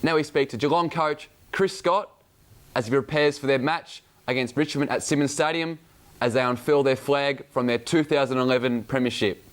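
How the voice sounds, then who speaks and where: Male sports reporter, scripted news narration, medium pitch. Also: moderate pace.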